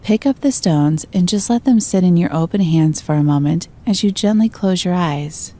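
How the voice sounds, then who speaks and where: A woman narrating a scripted guided meditation in a gentle, calm and soothing manner. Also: on the low side, normal speed.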